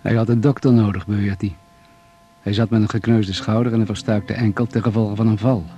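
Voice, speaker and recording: A man's voice: on the low side, fairly even intonation, a little fast, mellow, close to an everyday voice. An actor playing a dry, authoritative male doctor leading survivors in a radio drama. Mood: matter-of-fact.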